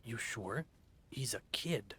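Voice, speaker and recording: A man's voice: medium pitch, expressive, slow pace, fairly bright tone, breathy edge, heavily acted. A man voicing a big creature henchman in a visual novel, casual and reluctant yet menacing. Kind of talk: visual novel character acting.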